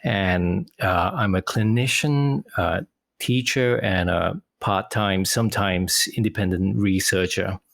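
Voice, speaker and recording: A middle-aged man from Singapore, a psychologist guest, talking quickly and haltingly with many fillers. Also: low voice, vocal fry, fairly bright tone, no room sound, clean studio sound. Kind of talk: casual interview talk.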